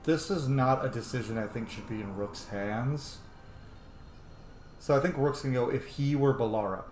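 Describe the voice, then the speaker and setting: A man's voice: mid-range voice, normal speed, darkish tone. A man giving informal video game playthrough commentary, musing aloud as he plays. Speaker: gaming YouTuber. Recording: roomy echo, game music behind. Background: video game music.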